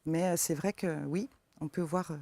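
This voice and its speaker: A woman from eastern France with a slight eastern French accent, answering interview questions as a forest therapy guide, soft-spoken and hesitant with many fillers. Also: deep voice, steady tone, moderate pace, creaky, dry recording.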